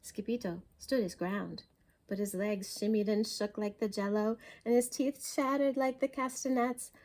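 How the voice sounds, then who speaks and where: A woman's voice: mid-range voice, fairly even intonation, moderate pace, a little mellow. A woman narrating a children's storybook expressively, with a dramatic, emphatic delivery, as a story time reader.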